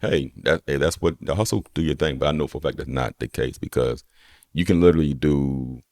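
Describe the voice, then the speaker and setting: A young man with a very deep, low voice talks quickly and loosely as a podcast guest. He is a personal trainer explaining his approach in an easygoing, rambling way. The sound is dry and close. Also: dry recording. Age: young adult. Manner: relaxed, rambling, lots of "you know what I'm saying". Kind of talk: casual conversation.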